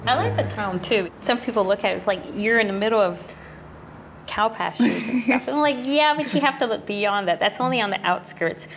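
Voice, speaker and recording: A woman's voice: fairly high pitch, fairly quick, a bit bright, slight background noise. A young woman from Arizona, a graduate student, chatting casually in a group interview with frequent fillers and restarts. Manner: chatty, lots of "you know" and restarts.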